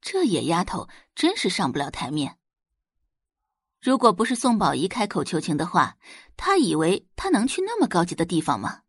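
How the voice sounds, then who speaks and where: Female audiobook narrator: expressive dramatic storytelling, lively pitch movement, slightly husky tone, dry close recording. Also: normal speed, no echo.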